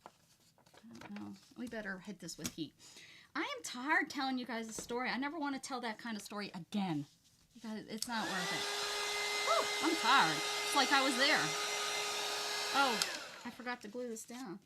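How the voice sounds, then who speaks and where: A woman's voice: fairly high pitch, lively intonation, moderate pace, pauses often, very noisy. A woman with a light Southern American accent, chatting casually with viewers and telling rambling stories while she works on a craft project. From United States. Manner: rambling, chatty storyteller reading comments aloud. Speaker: craft channel host.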